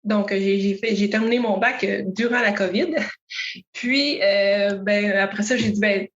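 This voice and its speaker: A woman's voice: medium pitch, a little slow, lo-fi sound. A young woman from Quebec with a Québécois accent, answering interview questions thoughtfully with many fillers. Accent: Québécois French. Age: young adult. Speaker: accounting student guest.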